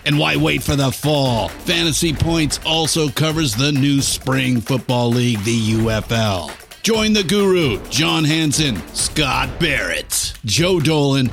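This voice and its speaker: A man's voice: medium pitch, gravelly, a bit bright. Man reading a polished promo ad. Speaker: ad reader. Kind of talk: scripted ad read.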